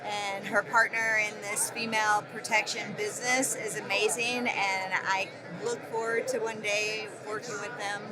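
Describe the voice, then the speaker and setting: Flat-voiced woman, outdoor crowd noise. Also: mid-range voice, normal speed, few pauses. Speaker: executive protection agent. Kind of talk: interview answers.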